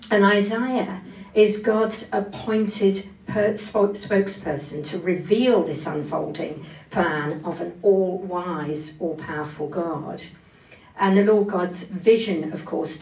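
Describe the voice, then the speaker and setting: A woman with a slightly low voice delivers an expository sermon. She explains scripture point by point and stresses key phrases. The recording is roomy, with the microphone some way off. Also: takes it slowly, a little mellow. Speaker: preacher giving a Bible talk. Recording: echoey room, distant microphone.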